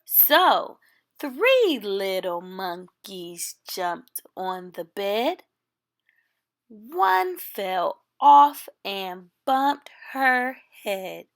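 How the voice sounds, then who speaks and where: A woman reading a children's picture book aloud, with expressive, deliberate phrasing for young listeners. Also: medium pitch. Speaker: storytime reader.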